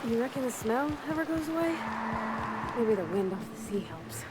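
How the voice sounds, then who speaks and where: An actress playing an earnest, folksy, gentle crewmate in a video game. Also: female voice, fairly high pitch, big pitch swings, normal speed, heavy background noise. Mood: wry, musing. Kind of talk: video game companion dialogue.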